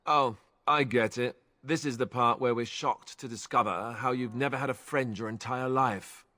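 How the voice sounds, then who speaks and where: An actor playing an earnest young warrior companion in a fantasy video game, plain-spoken with a dry, sarcastic edge. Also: male voice, medium pitch, steady tone, normal speed, bright, forceful tone, dry recording, close to an everyday voice.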